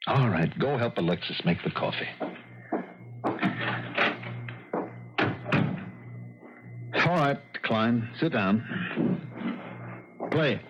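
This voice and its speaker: An actor playing a bitter, temperamental middle-aged concert pianist and harsh mentor, with a husky voice, in 1970s radio drama style. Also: male voice, medium pitch, very animated, measured pace, a little hiss or hum. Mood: grudging. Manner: theatrical, scathing outbursts and weary refusals. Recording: muffled vintage radio drama recording, music behind.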